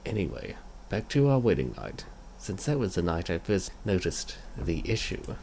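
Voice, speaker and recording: A man with a fairly low, gravelly voice reads a comic aloud as host and narrator. His delivery is casual and swings around in pitch, over faint noise. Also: very animated, moderate pace, darkish tone. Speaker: comic dub reader and channel host. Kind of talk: casual video intro and scripted first-person narration.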